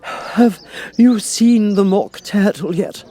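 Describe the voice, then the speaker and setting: A man voicing a savage, bossy storybook Queen who shouts orders in a drawn-out, imperious way. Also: high-pitched, pitched above the performer's own voice. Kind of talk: storybook character voice.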